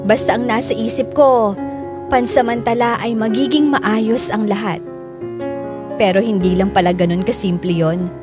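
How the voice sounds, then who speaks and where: A woman's voice: on the high side, fairly even intonation, moderate pace, lightly acted. A woman playing a troubled young woman narrating her love story in a reflective, confessional radio drama style. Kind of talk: scripted first-person radio drama narration. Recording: loud music bed behind narration.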